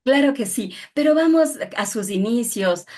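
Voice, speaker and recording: A woman's voice: fairly high pitch, lively intonation, moderate pace, a little mellow. A woman with an Ecuadorian accent presenting an interview programme in a formal, courteous manner. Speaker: radio programme host and interviewer.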